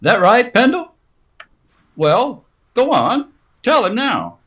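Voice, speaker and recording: An actor playing a protective, folksy frontier saloon keeper in a Western radio drama. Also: male voice, extremely high pitch, wildly swooping pitch, slow pace, a bit bright, heavily acted. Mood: guarded, insistent. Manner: folksy rustic grammar. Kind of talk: old-time Western radio acting.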